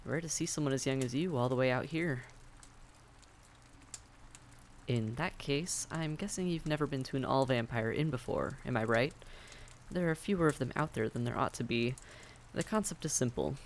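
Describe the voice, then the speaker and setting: A man's voice: mid-range voice, a little fast, slight background noise, natural voice. A man playing a friendly, reassuring vampire innkeeper in a fantasy audio roleplay, speaking courteously and conversationally to the listener. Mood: friendly, curious.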